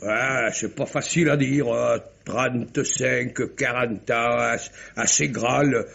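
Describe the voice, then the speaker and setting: A man playing a folksy, rambling bistro owner in an old-style French radio mystery, with a gravelly, husky voice. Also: medium pitch, steady tone, takes it slowly, a bit bright, slight background noise. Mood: garrulous. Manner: colloquial, rambling, exclamatory. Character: chatty, folksy male bistro owner recalling a drama. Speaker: actor. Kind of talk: 1950s French radio drama acting. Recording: muffled old radio recording.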